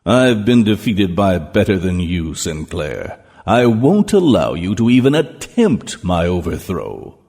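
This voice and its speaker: An actor playing a menacing, gloating ruler of Hell in an audio drama, with a pompous, commanding manner. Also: male voice, low-pitched, sing-song, measured pace, few pauses, darkish tone, husky, exaggerated voice. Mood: cold menace. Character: menacing male ruler of Hell, cold, gloating, all-powerful. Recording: long cavernous reverb.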